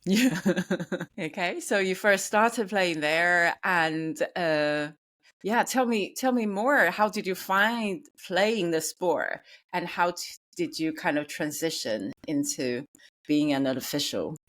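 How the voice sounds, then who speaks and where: A woman's voice: lowish voice, takes it slowly, a bit bright. A woman hosting an interview podcast, asking curious, encouraging questions with many fillers. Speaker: podcast host. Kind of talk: casual interview conversation.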